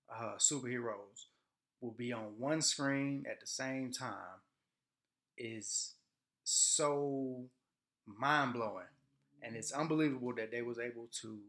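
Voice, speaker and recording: A man hosting a casual, unscripted movie review, speaking in halting, deliberate phrases with pauses. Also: medium pitch, fairly bright tone.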